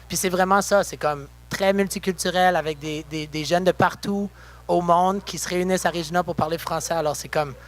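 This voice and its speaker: A young man from Saskatchewan, a musician, chatting backstage in a relaxed way with many fillers. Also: high voice, a little fast. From Saskatchewan, Canada. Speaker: musician guest. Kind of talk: casual interview. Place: backstage at a concert venue.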